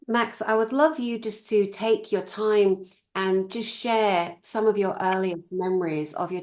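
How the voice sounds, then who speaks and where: A woman hosts her own interview channel, her voice sitting low. She speaks hesitantly, with many ums and uhs and restarts as she introduces her guest and asks questions. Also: a little mellow. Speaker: host. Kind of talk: interview hosting.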